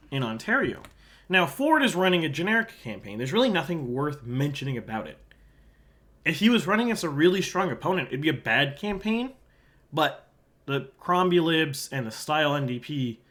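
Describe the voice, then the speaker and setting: A man from Halifax with a sore throat, giving casual solo commentary as he walks through an election forecast map. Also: fairly high pitch. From Halifax, Canada. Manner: casual and conversational, drops quieter aside asides while pointing at a map. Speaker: election analyst, channel host.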